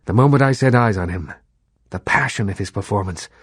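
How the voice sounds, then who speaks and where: An actor playing an imperious old vampire baron and Hollywood mogul in a video game, speaking in a measured, eloquent, condescending way. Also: male voice, lowish voice, breathy edge, dry recording, clean studio sound. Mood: wry, nostalgic. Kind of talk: video game character dialogue.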